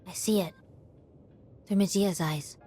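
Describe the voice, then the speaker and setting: Low-voiced detached, cryptic young woman, acted. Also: fairly even intonation, unhurried, mellow, faint music behind, close to an everyday voice. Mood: cool, probing.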